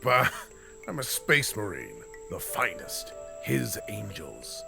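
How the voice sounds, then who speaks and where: Stoic giant warrior for a comic dub, very deep and gravelly, lively in pitch, measured and grave. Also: male voice, exaggerated voice. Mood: gentle. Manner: slow, solemn, measured delivery. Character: towering stoic male space-marine warrior, gentle but dutiful. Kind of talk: fan comic dub voice acting. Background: music score.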